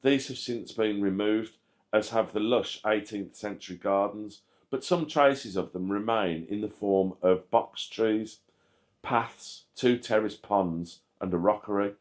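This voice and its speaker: A man reading a scripted history narration aloud in a measured, factual manner. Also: lowish voice. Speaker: channel host narrating a history video.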